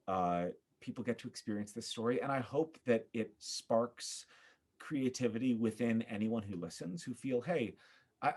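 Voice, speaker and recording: A man speaking as an interview guest, reflective and earnest, thinking aloud with halting, repeated phrases. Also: lowish voice, a little mellow. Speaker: guest, theater director.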